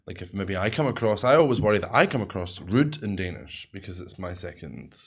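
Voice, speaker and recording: A man's voice: sits low, very animated, brisk, breathy edge. A man with a Scottish accent, co-hosting a podcast in casual chat, rambling and correcting himself as he goes. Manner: rambling, self-correcting, chatty. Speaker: podcast co-host.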